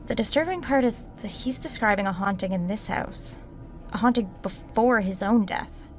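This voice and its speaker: A woman's voice: medium pitch, lightly acted. An actress playing an unsettled woman author alone in a haunted house, hesitant and distracted, in a horror audio drama.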